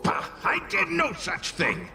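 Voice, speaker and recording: A man voicing a grumpy, boastful little male fire spirit in a video game, gravelly and scornful in a haughty, blustering way. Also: extremely high pitch, huge pitch swings, slow pace, over music, full character voice. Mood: indignant. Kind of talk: video game character acting.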